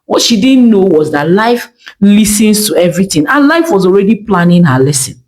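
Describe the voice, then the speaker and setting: A woman's voice: mid-range voice, sing-song, moderate pace, darkish tone. A woman narrating a folktale as a storyteller, with dramatic, emphatic phrasing.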